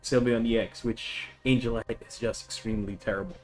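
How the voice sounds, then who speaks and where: A man's voice: lowish voice, steady tone, brisk, a little mellow, faint music behind. Filipino-accented man streaming casually. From Philippines. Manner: chatty, self-correcting, greets viewers. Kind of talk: casual live-stream deck explanation.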